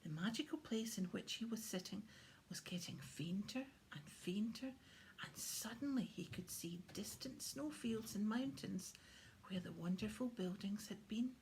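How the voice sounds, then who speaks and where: A woman's voice: mid-range voice, a little slow, darkish tone. Woman reading a children's story, noisy recording. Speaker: audiobook reader. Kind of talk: scripted children's story narration. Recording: clear background noise.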